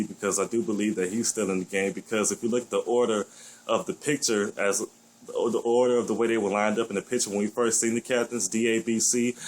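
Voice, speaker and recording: A man with a deep voice giving his blunt, opinionated take on a new game in a casual vlog, swearing freely as he gripes. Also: poor audio. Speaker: gaming vlogger.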